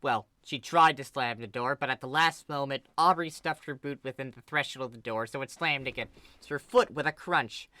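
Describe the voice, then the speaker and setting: A woman reading fan fiction as scripted narration, in an expressive, dramatic manner. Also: very deep voice, normal speed, no room sound. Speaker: story reader.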